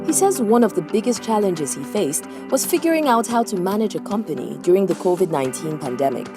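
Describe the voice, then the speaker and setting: A woman's voice: mid-range voice, a little fast. A woman narrating a news feature in a polished broadcast voice-over. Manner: polished, lively broadcast delivery. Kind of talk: scripted narration. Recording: voice-over over loud music.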